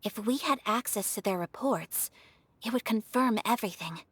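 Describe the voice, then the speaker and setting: An actress playing a calm, thoughtful young noblewoman who speaks in a measured, formal way. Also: a little high, a little hiss or hum, close to an everyday voice. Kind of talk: game cutscene dialogue.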